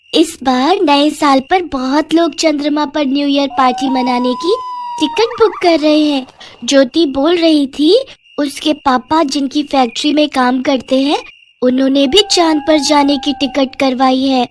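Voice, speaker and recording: A woman's voice: high-pitched, a little slow, a little hiss or hum. A woman voicing an eager, pleading young child from a poor family in a cartoon moral story. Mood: excited pleading.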